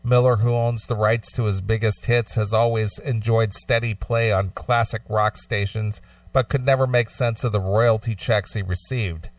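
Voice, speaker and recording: A man's voice: fairly low pitch, steady tone, moderate pace, few pauses, no room sound, distant mic. A man co-hosting a radio talk show, reading news items aloud and chatting about them.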